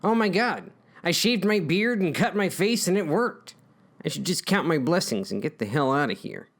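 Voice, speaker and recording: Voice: mid-range voice, big pitch swings, moderate pace. A performer voicing a guilt-ridden grown man on the run in a comic story, melancholy and melodramatic. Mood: relieved.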